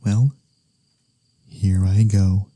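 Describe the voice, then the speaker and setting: A man playing a teasing, theatrical but harmless incubus demon in a soft-spoken ASMR roleplay, breathy and drifting into whispers. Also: on the low side, fairly even intonation, extremely slow, no room sound. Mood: playful, insistent.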